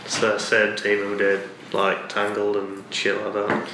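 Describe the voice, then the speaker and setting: A man's voice: lowish voice, monotone, measured pace. A man in his twenties in a casual co-hosted chat, giving blunt opinions in everyday language. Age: 20s. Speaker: co-host. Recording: very echoey room, distant mic, faint noise.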